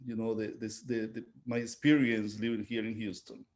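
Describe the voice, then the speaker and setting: A man's voice: fairly low pitch, flat delivery, normal speed, darkish tone, a little hiss or hum. A middle-aged man from Venezuela with a Venezuelan Spanish accent, speaking reflectively as an artist in an interview and often searching for his words. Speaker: visual artist being interviewed. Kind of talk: unscripted interview talk, reflective.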